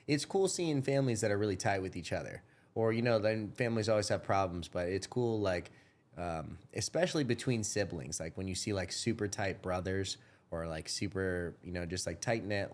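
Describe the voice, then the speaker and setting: Man, 30s, podcast host, low voice, loose rambling talk, restarts, swears casually. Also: animated, brisk. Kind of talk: casual chat.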